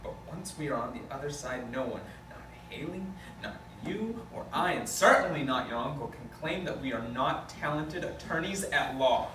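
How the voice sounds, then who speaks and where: A man's voice: medium pitch, animated, moderate pace, hardly any pauses, natural voice. A man reading the part of an earnest, bossy lawyer given to speeches in an amateur script table read. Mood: rousing. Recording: distant, echoey, noisy room.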